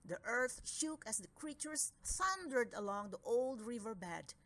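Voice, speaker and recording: Female storybook narrator, slow read-aloud pacing, slightly high voice. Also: lively intonation, a bit bright, a little hiss or hum. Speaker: storybook reader.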